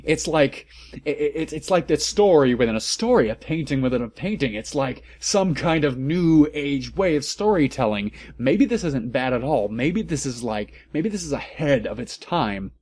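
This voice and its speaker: A man's voice: a little high, expressive, moderate pace, a little mellow, no room sound. A man giving a comedic, theatrical and mock-serious reading of amateur fan fiction as its narrator. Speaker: narrator and commentator.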